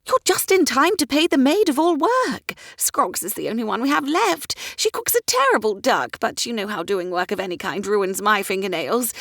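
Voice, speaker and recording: A woman voicing a flighty, dramatic older society mother who talks in shrill, theatrical bursts. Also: fairly bright tone, no echo, studio quality, heavily acted.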